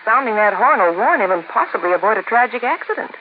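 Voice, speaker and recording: A woman plays a doting, persuasive wife in a vintage radio sketch. Her voice is high and earnest, with animated pitch. The old recording is tinny. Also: quick pace, a little hiss or hum, natural voice. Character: sweet, persuasive wife coaxing her husband.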